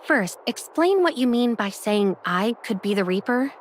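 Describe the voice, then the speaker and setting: A woman's voice: a little high, animated, moderate pace, slight background noise, natural voice. A woman voicing a skeptical young protagonist in an anime game, guarded and questioning in manner.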